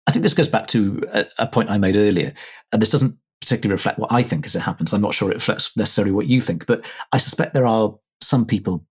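A man interviewing a guest, putting his points in a measured, hedging way. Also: lowish voice, breathy edge. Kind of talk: conversational interview.

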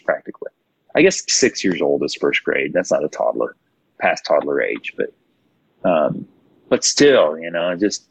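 Deep, husky man in a roomy, dull recording. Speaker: podcast host. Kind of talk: casual panel discussion. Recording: roomy echo, dull lo-fi sound.

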